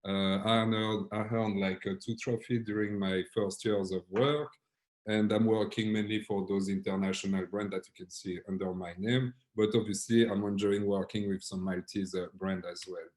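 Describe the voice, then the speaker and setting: A man from Belgium with a French accent, giving an online workshop presentation in a relaxed, filler-heavy way over a video call. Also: fairly low pitch. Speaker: workshop presenter, creative director.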